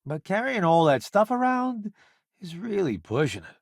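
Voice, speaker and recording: A man's voice: sits high, sing-song, normal speed, no echo, studio quality, exaggerated voice. A man playing a flamboyant, wisecracking celebrity food critic turned spaceship crewmate in a video game, glib, theatrical and sardonic. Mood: sardonic, teasing. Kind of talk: video game character voice acting.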